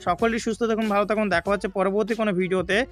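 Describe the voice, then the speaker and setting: A man's voice: high voice, fairly even intonation, racing. A man with a Bangladeshi accent narrating a gaming tutorial step by step, often repeating his points. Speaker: gaming YouTuber. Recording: faint background music. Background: music.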